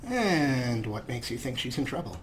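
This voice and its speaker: A man's voice: medium pitch, sing-song, normal speed, slight background noise. A man hosting a video game playthrough, mixing casual commentary with playful in-character replies. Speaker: Let's Play host.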